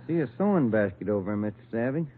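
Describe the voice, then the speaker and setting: An actor playing an understated American police detective, the casual partner, in an old radio drama. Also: male voice, fairly low pitch, sing-song, quick pace, phone-quality sound. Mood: casual, inquiring. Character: easygoing, low-key male police detective partner. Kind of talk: 1950s radio drama acting. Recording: very noisy old radio recording.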